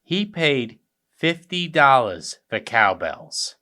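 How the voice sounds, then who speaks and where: Radio comedy brother: male actor as sarcastic, exasperated sibling, sharp-edged and swooping in pitch. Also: big pitch swings, slow, exaggerated voice. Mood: indignant. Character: irritable, sarcastic adult brother, bickering and indignant. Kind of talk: comedic radio play acting.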